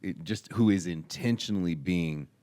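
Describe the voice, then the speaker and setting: A man's voice: normal speed, dry recording. A man in his thirties, a pastor, talking casually and explaining points with emphatic bursts. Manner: animated, swings from low and soft to loud emphatic bursts. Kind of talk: casual chat.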